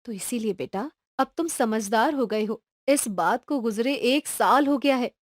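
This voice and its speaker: A caring, firm cartoon mother, voiced by a woman. Her voice is high and sounds dry and close, and she explains things patiently and warmly to her child. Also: a little slow, no room sound. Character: caring young mother, firm but loving.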